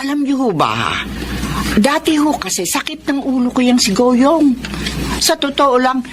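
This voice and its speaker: An outspoken, long-suffering Filipino mother in a radio play. The delivery is emotional, with pitch moving widely. Clear noise runs behind it. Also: big pitch swings, unhurried. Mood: grateful, respectful. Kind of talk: Filipino radio drama acting.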